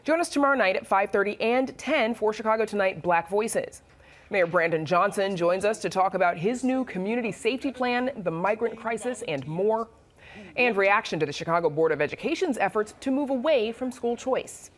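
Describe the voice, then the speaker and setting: A woman's voice: medium pitch, animated, normal speed. A woman anchoring a television news show from a studio, reading a scripted sign-off.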